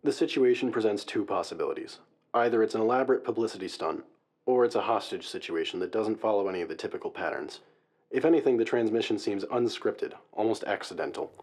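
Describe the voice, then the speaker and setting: A man's voice: lowish voice, fast, a little mellow, close to an everyday voice. A man playing a serious, guarded crisis negotiator in an audio-drama radio interview, speaking formally and analytically. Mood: measured, concerned. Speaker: guest expert, hostage negotiator.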